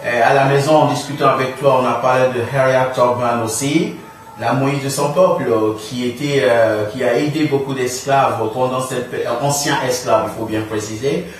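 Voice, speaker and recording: A middle-aged man hosting an informal radio interview, feeling his way through sentences with halting phrasing. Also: medium pitch, steady tone. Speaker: radio talk show host. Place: small radio studio room, indoors. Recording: very echoey room, distant, faint noise.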